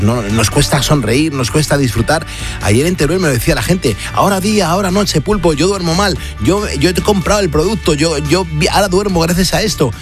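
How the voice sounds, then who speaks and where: Chatty radio host, man. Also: medium pitch. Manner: chatty, hesitant fillers and restarts. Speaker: late-night radio host. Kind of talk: casual on-air chat and live ad read. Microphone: studio broadcast mic.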